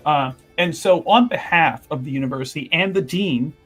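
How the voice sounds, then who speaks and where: A man acts out a flustered university official in a tabletop game, with a fairly high voice. He is deferential and eager to placate, stumbling through formal apologies and courtesies. Faint music plays behind him. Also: fairly bright tone, husky. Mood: apologetic, formal. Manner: halting, deferential, over-apologetic, formal wording. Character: flustered, obsequious male university representative smoothing over trouble. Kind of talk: improvised tabletop roleplay.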